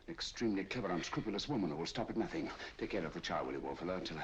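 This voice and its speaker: An actor playing a commanding British gentleman detective, clipped and decisive, in the theatrical manner of 1940s mystery films. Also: sits low, lively intonation, racing. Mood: urgent, grim. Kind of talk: 1940s mystery film acting. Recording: dull old film soundtrack, faint noise.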